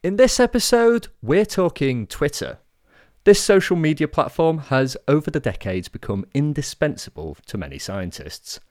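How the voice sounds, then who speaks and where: Male podcast host, very animated pitch, dry close sound, measured scripted delivery. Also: no echo.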